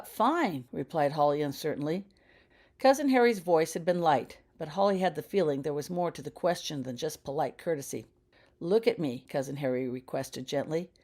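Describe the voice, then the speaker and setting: Low-pitched woman narrating a story. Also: a little mellow. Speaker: author reading own fiction. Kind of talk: scripted audiobook narration.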